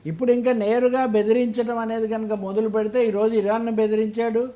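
Telugu guy doing political commentary, really high voice, argues hard, lots of rhetorical questions. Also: little pitch movement, fairly quick, dark tone. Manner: emphatic, argumentative, rhetorical questioning.

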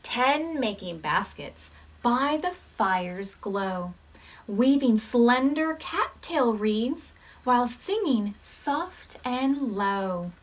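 Slow, higher-voiced American woman reading to preschoolers. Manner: expressive, measured reading with questions to young listeners. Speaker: children's librarian leading storytime. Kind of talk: read-aloud storytime for preschoolers.

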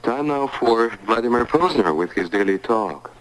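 A man's voice: medium pitch, lively intonation, moderate pace, a bit bright, rough recording. A man making a formal scripted station announcement as a radio announcer. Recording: 1980s shortwave radio broadcast.

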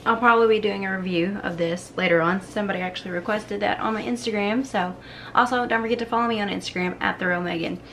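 A woman's voice: mid-range voice, fairly even intonation, quick pace, fairly bright tone, slight background noise. A young woman in her twenties chatting casually while cooking in her home kitchen, filmed on a camera set on the counter. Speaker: cooking vlogger.

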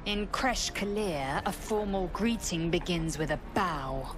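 Low, haughty alien warrior woman. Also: lively intonation, measured pace, music in the background. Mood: imperious. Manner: clipped, scornful, imperious. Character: haughty, impatient female githyanki alien warrior. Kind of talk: fantasy game voice acting.

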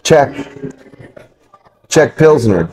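A man's voice: on the high side, expressive, very slow, a little mellow. A middle-aged man co-hosting a beer show in casual chat, blunt and slangy in a laid-back way. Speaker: co-host of a beer review show. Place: brick-walled pub, indoors at a table.

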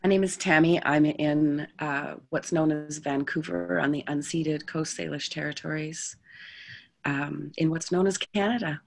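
Woman, gravelly voice, slow unhurried speech, hesitant, video call. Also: low voice, fairly even intonation. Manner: hesitant, many fillers and restarts. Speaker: participant in a women's circle. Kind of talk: casual video-call introduction.

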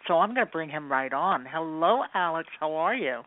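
A woman's voice: sits low, lively intonation, moderate pace, no room sound. A woman hosting an internet radio interview show, enthusiastic and full of fillers. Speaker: radio host. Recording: dry tinny phone line.

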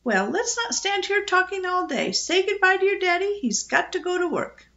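A woman's voice: very high-pitched, very animated, moderate pace, bright, forceful tone, dull audio, pitched above the performer's own voice, exaggerated voice. A woman voicing a bossy, teasing female parrot in a children's storybook, with a sardonic, scolding manner.

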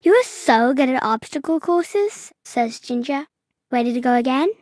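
A woman narrating a children's story in an enthusiastic read-aloud style, clearly paced for young listeners. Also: high voice, lively intonation, a little slow, a little mellow, no echo.

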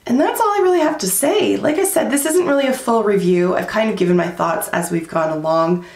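A woman in her thirties giving a candid, chatty beauty product review at home, her voice going hoarse. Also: medium pitch, a little fast, darkish tone, echoey room. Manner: chatty and candid, many likes and fillers. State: losing her voice. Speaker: beauty vlogger. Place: small room at home, indoors.

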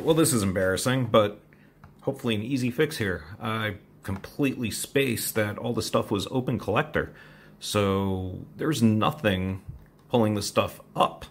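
A man casually walking through an electronics hobby mod at his workbench, in a rambling, self-deprecating way. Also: fairly low pitch. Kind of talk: casual technical walkthrough. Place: home workbench with computer gear.

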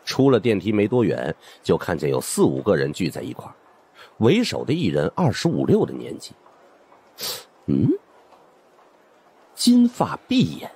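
A man's voice: on the low side, mellow, slightly rough. A man narrates suspense fiction as a dramatized first-person audiobook storyteller.

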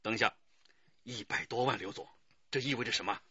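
An actor playing a decisive, authoritative police detective chief giving orders in a radio drama. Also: male voice, medium pitch, brisk, bright, forceful tone, husky, dry recording, muffled recording. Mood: focused, urgent.

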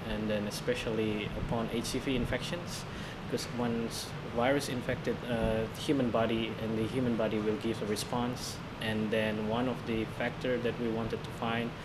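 A man in his thirties from Indonesia with an Indonesian accent, a virology researcher answering interview questions in a halting way, pausing often for words. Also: medium pitch. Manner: halting, searching for words with many fillers. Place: laboratory indoors. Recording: loud air-conditioning hum in lab.

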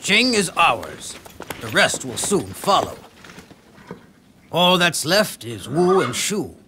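A man's voice: on the high side, very animated, slow pace, background noise, heavily acted. A man playing an ambitious, commanding warlord in a video game, imperious and declamatory. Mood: confident ambition. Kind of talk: dubbed video game cutscene acting.

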